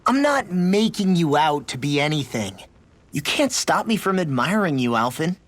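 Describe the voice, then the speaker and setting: A man's voice: fairly high pitch, big pitch swings, normal speed, a bit bright. An actor playing a brash but earnest teenage boy fighter who talks informally in a video game cutscene. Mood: earnest, admiring.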